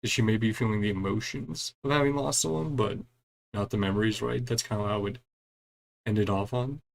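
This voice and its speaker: A man's voice: lowish voice, brisk, creaky. A man giving casual commentary on a game as he plays, musing aloud and reading bits of dialogue. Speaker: gaming streamer.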